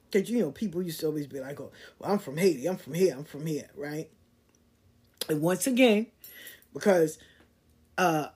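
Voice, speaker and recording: A woman hosting a podcast, speaking in in a candid storytelling way that swings from loud emphasis to quiet asides. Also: sits low, breathy edge. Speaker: podcast host. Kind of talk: casual monologue.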